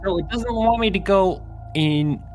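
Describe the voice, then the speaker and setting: A man's voice: sits high, measured pace, a little mellow, soft background music. A man talking casually as a player in a tabletop role-playing game, working out his character's moves aloud.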